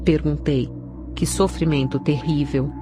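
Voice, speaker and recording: A woman's voice: low-pitched, steady tone, a little slow, mellow. A woman with a Brazilian accent reading a scripted narration of a religious testimony. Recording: clear background music under the voice. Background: music.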